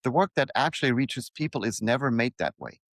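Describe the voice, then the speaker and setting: A man delivers a reflective motivational monologue in a mid-pitched voice with very lively intonation. He speaks in deliberate, short phrases and repeats patterns for emphasis. The sound is dry, with no room around it. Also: no room sound. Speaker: writer reading own newsletter aloud.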